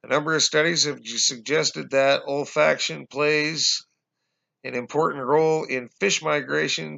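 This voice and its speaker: Man with a fading, gravelly voice. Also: medium pitch, pushed and bright. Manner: halting, many fillers and restarts, addresses viewers as guys. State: losing his voice, coughing. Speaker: conspiracy vlogger. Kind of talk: casual explanatory monologue. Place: small indoor room at home. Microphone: webcam. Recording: dull lo-fi webcam audio.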